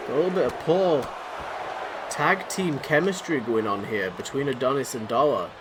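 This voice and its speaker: A man doing excitable, rambling play-by-play commentary over a wrestling video game, his voice going hoarse. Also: medium pitch, normal speed. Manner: excitable, rambling, self-deprecating. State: losing his voice. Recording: noisy background.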